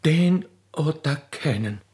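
Man as a sighing, husky Austrian fat widow. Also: fairly high pitch, expressive, takes it slowly, a little mellow, pitched above the performer's own voice, exaggerated voice. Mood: dramatic sighing. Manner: sighing, gushing.

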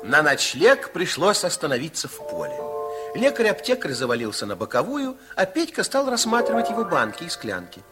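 A man narrating a children's radio play in an expressive storybook style, with dramatic pauses. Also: fairly high pitch. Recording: old 1960s radio recording with music.

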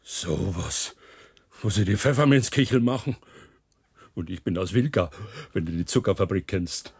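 Husky Silesian foreman, animated. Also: low voice, normal speed, full character voice. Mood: gentle, confiding. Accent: Silesian German. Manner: thick dialect, folksy phrasing. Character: gruff Silesian camp foreman with a soft side. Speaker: factory foreman. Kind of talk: German radio drama acting.

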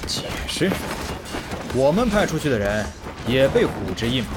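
An actor playing an irate, foul-mouthed guild leader with a confrontational manner in an audio drama. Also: male voice, mid-range voice, sing-song, moderate pace, background noise, natural voice. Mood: angry.

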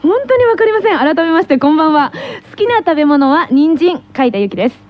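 Female radio host: high, animated voice, quick banter, chiding co-host, dull radio sound. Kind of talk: casual banter and scripted radio hosting. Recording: muffled lo-fi radio recording.

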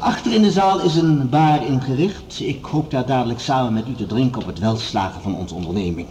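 An actor playing a commanding project leader, speaking formally and with measured authority in a radio drama. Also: male voice, mid-range voice, animated, warm tone, natural voice. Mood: formal, rallying. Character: commanding male project leader addressing assembled scientists. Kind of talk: radio drama acting, formal speech-making.

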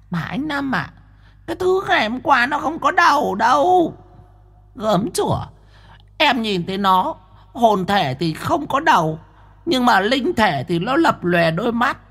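A jittery young spirit medium, played by a woman, gushing and superstitious in a spoken ghost story. Her breathy voice sits high and bounces all over. She rattles on confidingly. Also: very animated, a little slow, fairly bright tone, full character voice. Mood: anxious. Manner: chatty, gushing, easily frightened. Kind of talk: audiobook character voice.

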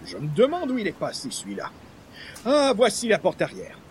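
A man's voice: high-pitched, wildly swooping pitch, normal speed, a little hiss or hum. An actor playing a pompous, hapless male columnist with florid, formal wording in a radio comedy. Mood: puzzled, self-assured. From Quebec. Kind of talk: 1940s-style radio comedy acting.

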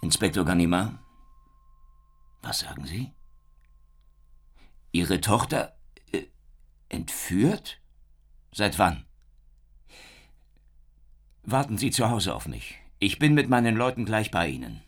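Gruff, deep-voiced police inspector. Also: male voice, big pitch swings, exaggerated voice. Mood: alarmed, urgent. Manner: brisk, commanding, emphatic. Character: gruff, determined male French police inspector. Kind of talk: German radio play acting.

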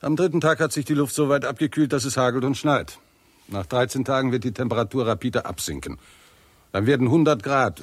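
An actor playing a weary, irritable and pessimistic scientist in a 1960s German radio drama, husky and articulate, with a sardonic, argumentative manner. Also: male voice, lowish voice, close to an everyday voice.

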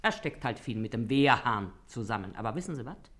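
Low-voiced, animated, earthy gossiping woman. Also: fairly quick. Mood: sly, gossipy. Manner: folksy, emphatic, confiding, with repeated exclamations. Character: shrewd, earthy, gossipy middle-aged working-class woman. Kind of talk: German radio play acting.

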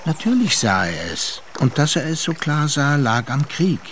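A man narrating a literary radio drama with measured, deliberate phrasing and pauses. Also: a little high, sing-song, a bit bright, slight background noise.

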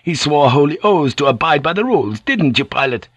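A man's voice: medium pitch, fairly even intonation, normal speed, no echo, close to an everyday voice. A man voicing a truculent, resentful merchant seaman in an audiobook, hissing and arguing indignantly.

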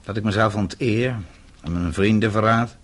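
An actor in a radio play, playing a principled, wounded elderly father who speaks gravely and haltingly. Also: lowish voice, close to an everyday voice. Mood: hurt, reproachful. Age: older. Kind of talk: radio drama acting. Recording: radio play with faint background noise.

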